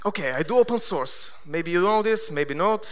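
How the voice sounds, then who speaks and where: A man in his thirties from Bulgaria with a Bulgarian accent, giving a conference keynote with self-deprecating deadpan humor. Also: sits high, a little slow. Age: 30s. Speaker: conference speaker. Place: large conference hall.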